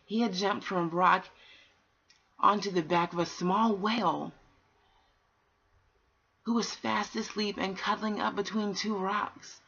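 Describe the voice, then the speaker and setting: A woman with a slightly husky voice reads a storybook aloud as bedtime narration, unhurried, pausing between phrases. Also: medium pitch, steady tone, fairly bright tone, slight background noise. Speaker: amateur bedtime story reader. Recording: dull, lo-fi home recording.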